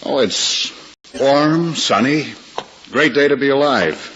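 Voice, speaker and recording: An actor playing a kind, easygoing young lawyer and fellow patient with an American accent, casual and reassuring, in 1950s radio drama style. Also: male voice, medium pitch, animated, slow pace, fairly bright tone, slightly rough, full character voice. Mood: gentle. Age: young adult. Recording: hissy, muffled, reverberant old radio recording.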